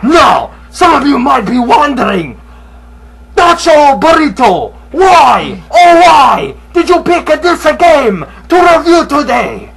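A man playing a shrill, gravelly luchador boaster. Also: very animated, takes it slowly, full character voice, rough recording. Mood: boastful. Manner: broken English, shouty theatrical boasting. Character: boastful masked male luchador wrestler hosting a game review. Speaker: game reviewer. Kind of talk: comic game review in character.

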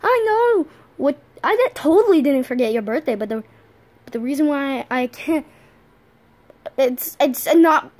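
A goofy young boy puppy, high and bouncy, who stammers and rambles in nervous bursts, as a woman puts him on. His voice leaps all over the place in a homemade cartoon play. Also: animated, unhurried, long pauses, a little mellow. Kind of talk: fan-made cartoon voice play.

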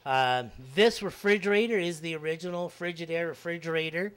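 A man's voice: on the high side, a little fast, a bit bright, clear, resonant tone. Middle-aged man giving a rambling tour. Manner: friendly, rambling, with fillers. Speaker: club member giving a cabin tour. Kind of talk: guided tour. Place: indoors, ski lodge kitchen and dormitory. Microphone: handheld mic.

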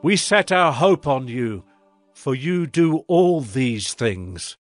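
A man's voice: medium pitch, lively intonation, takes it slowly, no room sound. A man playing a penitent supplicant in a dramatized scripture reading, reverent and beseeching before God. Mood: contrite pleading. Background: music bed.

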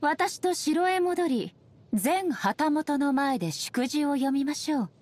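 A woman voicing a dignified, ageless shogun goddess in a game, speaking softly in formal, measured, polite phrases. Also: sits high, expressive. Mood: prim, firm. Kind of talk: scripted game character voice lines.

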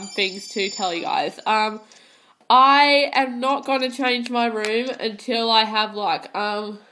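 A woman's voice: a little high, a bit bright, low-quality recording. A teenage girl with a head cold and a stuffy, tired voice, chatting casually about her pet game with many hesitations. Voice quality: hesitant, many ums and restarts. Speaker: gaming channel host. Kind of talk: casual gameplay commentary. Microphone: phone filming a handheld console screen.

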